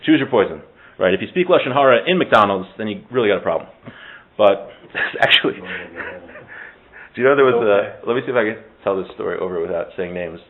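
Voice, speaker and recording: A man's voice: on the low side, expressive, a little fast. A man teaching an informal Torah class in a conversational, joking, self-interrupting way, sprinkling Hebrew terms into his talk. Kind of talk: informal religious lesson with discussion. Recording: thin phone-like audio.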